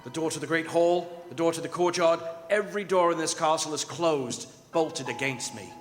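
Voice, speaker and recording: A man playing a desperate young English gentleman prisoner, narrating his diary with dramatic dread in a radio drama. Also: on the high side, normal speed, lightly acted. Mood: haunted dread. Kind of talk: old-time radio drama acting on stage. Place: performing arts center stage. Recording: echoing theatre hall, music behind.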